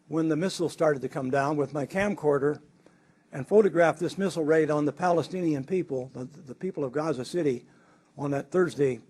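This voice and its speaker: A man with a slightly high voice recounts past events for an interview. He rambles a little, pausing with fillers and restarting phrases as he goes. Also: darkish tone, slightly rough. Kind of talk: casual interview recollection.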